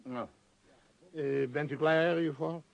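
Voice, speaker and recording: A man's voice: on the high side, fairly even intonation, takes it slowly, creaky, muffled recording. An actor in a radio play voicing a domineering, self-pitying business magnate, blustering and muttering as he gives orders. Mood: brisk, bossy. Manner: blustering, commanding, muttering hesitations.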